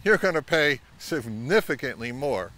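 A middle-aged man hosts an instructional vlog outdoors, speaking to a camera a short distance away. His delivery is measured and explanatory, with a mid-range voice that moves up and down in an animated way. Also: medium pitch. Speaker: vintage audio channel host. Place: outdoors by a pond and on a wooded trail.

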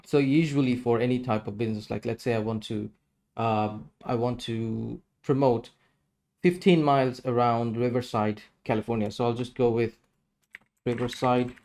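A man's voice: mid-range voice, steady tone, normal speed, darkish tone. A man in his thirties giving a casual screen-share tutorial, explaining each step as he goes. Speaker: tutorial presenter.

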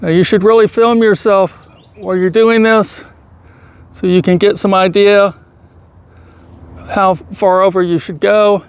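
A middle-aged man with a fairly high voice talks through a golf drill slowly and patiently, one step at a time. He is outdoors on a grassy field, picked up from a camera some distance away, with crickets and insects chirping behind him. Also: smooth, muffled recording. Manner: calm, patient step-by-step instruction. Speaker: golf instructor. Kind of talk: instructional golf tutorial. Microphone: far camera, full-body framing.

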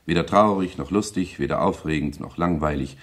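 Very deep, husky, sober storyteller. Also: male voice, fairly quick. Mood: sober, measured. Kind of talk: literary radio play narration.

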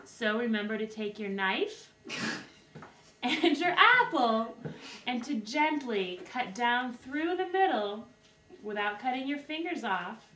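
A woman's voice: sits high, fairly bright tone. A young woman hosting a homemade cooking video, presenting in a playful mock-formal way and giggling often, heard from a distant camera in a small wooden kitchen. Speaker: host of a home cooking video. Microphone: far camera. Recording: roomy, distant, faint hiss.

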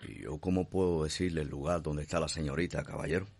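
An actor playing a proud, lovelorn enslaved stable hand in a radio soap opera. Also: male voice, very deep voice, fairly even intonation, quick pace, gravelly, darkish tone, full character voice. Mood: guarded.

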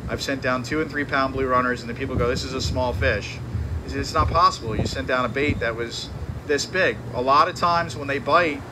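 A charter fishing captain giving a live seminar in a tackle shop, talking casually with many fillers and restarts. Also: male voice, medium pitch, fairly quick, background noise.

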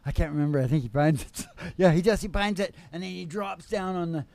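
A man's voice: fairly high pitch, brisk, vocal fry, darkish tone, no room sound. An older man hosts in a loose, casual way from a stage microphone. He rambles and breaks off, groping for details as he tells a story. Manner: rambling, forgetful, stop-and-start storytelling. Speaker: show host and musician. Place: indoor curtained small stage studio. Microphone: stage microphone on stand.

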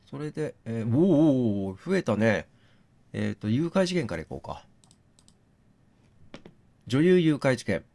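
A man doing gaming commentary, thinking out loud and reasoning step by step, mixed with reading text aloud. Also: mid-range voice. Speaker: game streamer reading on-screen text aloud.

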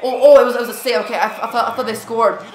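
Woman gaming host; jumpy live reactions, repeats words when excited, echoey room. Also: on the high side, racing. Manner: jumpy, reactive, lots of "come on" and "here we go". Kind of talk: excited live gameplay commentary. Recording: roomy echo.

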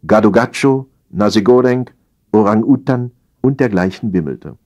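Low-voiced, very animated male storyteller. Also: husky. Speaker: audiobook narrator. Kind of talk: scripted humorous narration.

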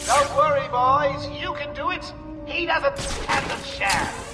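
A man's voice: extremely high pitch, lively intonation, takes it slowly, over music, exaggerated voice. An actor playing a manic, theatrical male clown villain in a video game, taunting in a sing-song way. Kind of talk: video game cutscene acting.

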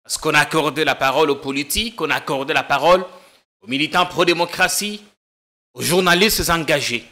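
A man in his thirties hosting a political talk show in a TV studio, questioning his guest briskly. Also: on the high side, sharp tone. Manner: brisk, probing interviewer. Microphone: desk microphone.

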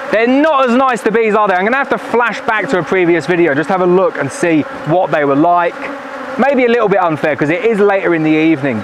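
A high-voiced man talks cheerfully while he works through a hive at an outdoor apiary. His voice comes from a camera set a little way off on a tripod. A faint buzz of bees hangs behind him. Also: noisy background. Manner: chatty, upbeat, talks while working with the hive. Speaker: beekeeper. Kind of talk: casual hands-on video narration. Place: outdoor apiary at a forest edge, in the evening. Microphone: camera on a tripod at a distance, wearing a bee suit veil. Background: faint outdoor buzzing of bees.

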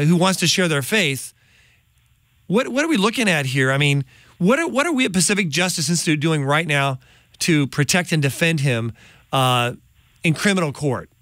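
A man's voice: a little high, a bit bright, dry recording. A middle-aged man hosting a studio interview, asking emphatic, indignant questions. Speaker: host. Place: studio with large window. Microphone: studio microphone on boom.

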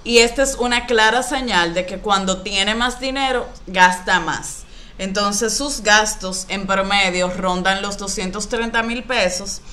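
A woman in her thirties explaining personal finance to camera as a coach, in a didactic, deliberate way. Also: medium pitch, sharp tone. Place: small indoor room. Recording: some background room noise.

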